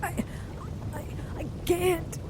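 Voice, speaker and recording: A woman playing a frightened young woman in an audio drama, breathy and trembling, pleading not to be left alone. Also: level tone, slow. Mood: desperate. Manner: pleading, clingy. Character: frightened young woman caught in a vampire attack. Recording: loud rumbling background noise.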